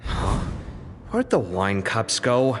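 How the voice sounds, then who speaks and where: A man playing an expressive, fretful, talkative young man in an anime video game. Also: fairly low pitch, slow pace, exaggerated voice. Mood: puzzled. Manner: expressive, conversational, easily startled. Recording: long reverb, music behind.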